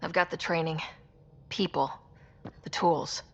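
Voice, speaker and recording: A woman's voice: low voice, steady tone, measured pace, muffled recording, slight background noise. An actress playing a confident, resolute female space officer in a video game cutscene.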